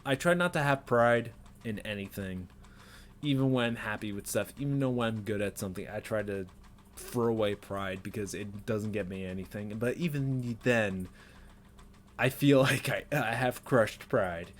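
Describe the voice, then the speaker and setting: A man's voice: on the low side, moderate pace, a little hiss or hum. Young man rambling self-critically in bedroom. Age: 20s. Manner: rambling, self-critical, with nervous laughs and many fillers. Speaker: vlogger. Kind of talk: unedited personal brain-dump vlog. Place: small bedroom at home. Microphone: webcam at desk.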